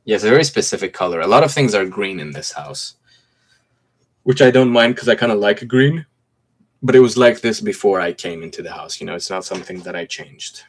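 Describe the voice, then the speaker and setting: A man in his twenties from Greece, speaking with a slight American accent and a deep, low voice. He chats in a relaxed, easygoing way on a phone livestream at home, reading out comments and answering them one by one. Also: a little fast. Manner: laid-back, chatty, reads comments aloud and answers them. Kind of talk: casual livestream chat answering viewer comments. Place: room at home with mint green walls.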